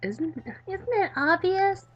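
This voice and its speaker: A woman plays a peppy, oblivious girl for a visual novel, high and very swoopy. She gushes and pleads, always eager to help, over a music bed. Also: slow pace, lo-fi sound, full character voice. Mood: eager, gushing. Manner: excitable, pleading, gushing.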